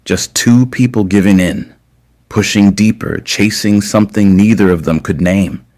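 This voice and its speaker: A man narrating scripted romance fiction in a measured, deliberate way with dramatic pauses. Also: lowish voice, a little mellow. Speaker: audio story narrator.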